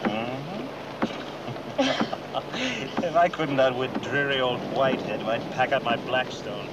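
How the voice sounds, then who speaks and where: A conceited schemer in an old horror-comedy film. His mid-range voice is animated, arch and self-assured, heard at a distance through very heavy noise. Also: mid-range voice, takes it slowly. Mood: smug. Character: smooth, conceited schemer reassuring his lover. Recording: very noisy distant outdoor film sound.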